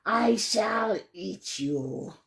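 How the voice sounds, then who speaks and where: Woman doing a slow growling storybook tiger. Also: medium pitch, expressive, a bit bright, heavily acted. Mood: menacing. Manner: slow, heavy, drawn-out growled words. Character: big menacing growling tiger, hungry.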